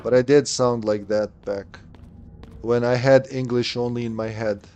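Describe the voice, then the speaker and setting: A man's voice: mid-range voice, steady tone, normal speed, a little mellow, dull audio, soft background music. A man in his late thirties giving live commentary on a game, chatting, thinking aloud and answering chat. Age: late 30s. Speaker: streamer.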